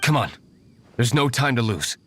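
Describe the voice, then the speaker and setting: A man's voice: medium pitch, big pitch swings, normal speed, background noise, natural voice. A man voicing a cold, stern young nobleman in a video game, clipped and commanding. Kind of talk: video game cutscene dialogue.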